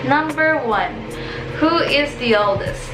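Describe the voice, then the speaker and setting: High-voiced chatty young woman. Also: expressive, slow pace. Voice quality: high-pitched. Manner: chatty, upbeat, reads out questions. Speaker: vlogger. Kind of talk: casual chat. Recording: echoey room with background music.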